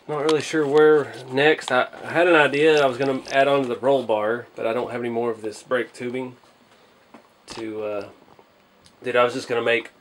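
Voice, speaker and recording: A man's voice: medium pitch, slight background noise. Modest man, thinking aloud. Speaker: hobby channel host. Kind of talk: casual hands-on build vlog.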